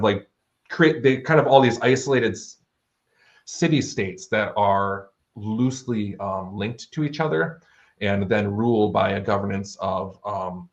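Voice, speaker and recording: A man speaking as an author being interviewed, explaining his fantasy worldbuilding in an articulate, bookish, conversational way. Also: lowish voice.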